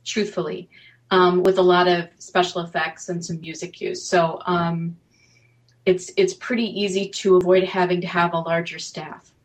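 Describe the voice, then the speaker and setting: A woman talking casually as a guest producer on a panel, measured and matter-of-fact. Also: on the low side, flat delivery.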